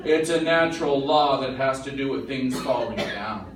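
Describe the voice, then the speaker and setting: A man's voice: mid-range voice, normal speed, natural voice. A man playing a proud, easily exasperated father who explains things pompously and emphatically in an old-time radio comedy. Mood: exasperated lecturing. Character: proud, easily exasperated daddy and amateur chemist. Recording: very echoey, distant, noisy room recording.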